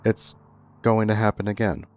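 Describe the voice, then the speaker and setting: A young man talking to his followers in an earnest, thoughtful storytime monologue, pausing and restarting as he searches for words. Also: fairly low pitch, monotone, darkish tone, slight background noise. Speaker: content creator. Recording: faint background music.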